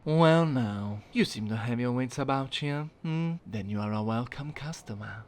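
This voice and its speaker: A man voicing a sly, half-mad old undead merchant from a video game, creaky and sneering, with a slow, wheedling delivery. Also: medium pitch, animated, natural voice. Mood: sly, foreboding. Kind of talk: video game character voice acting.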